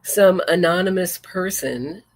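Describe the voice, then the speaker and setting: A woman's voice: lowish voice, unhurried, darkish tone, poor audio. A woman hosting a true crime talk show, commenting casually and reading messages aloud, often digressing and correcting herself as she goes. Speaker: podcast host.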